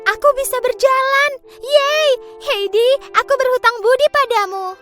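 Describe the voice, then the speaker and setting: A woman's voice: very high voice, normal speed, pushed and bright, soft background music, pitched above the performer's own voice. A woman voicing a sweet, grateful, overjoyed young girl in a children's storybook tale.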